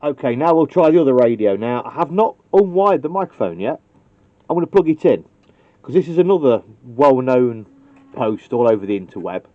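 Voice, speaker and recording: A man's voice: mid-range voice, big pitch swings, a little fast, no room sound. A man casually demonstrating how to wire a radio, thinking aloud and joking at his own expense. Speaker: CB radio technician. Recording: dull lo-fi recording.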